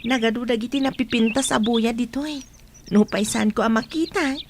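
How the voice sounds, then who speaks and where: A woman's voice: a little high, moderate pace, natural voice. A woman playing a friendly, appreciative visitor on holiday in a radio drama. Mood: wistful, delighted. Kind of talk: Ilocano radio drama acting. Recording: noisy bed of bird and insect sounds.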